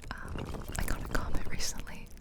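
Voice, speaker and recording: A woman in her twenties rambling casually in a soft, unvoiced whisper for ASMR, close to the microphone. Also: moderate pace. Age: 20s. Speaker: ASMR video host. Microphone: close ear-to-ear ASMR microphone.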